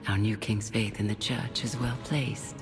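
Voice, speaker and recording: A woman's voice: very deep voice, steady tone, a little slow. Female church inquisitor, pious and severe, formal delivery, long cathedral-like reverb and music, fantasy game. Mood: pious, stern. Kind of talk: dramatic video game cutscene acting.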